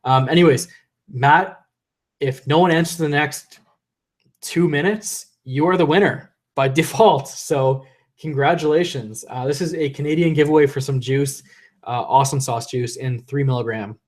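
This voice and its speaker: A man's voice: a little high, normal speed. A man hosting a casual live stream from home, rambling loosely and swearing as he reads chat, a little tipsy from drinking, into a desk condenser mic. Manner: rambling, loose and profane, reading chat aloud. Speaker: livestream host. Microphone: desk USB condenser mic.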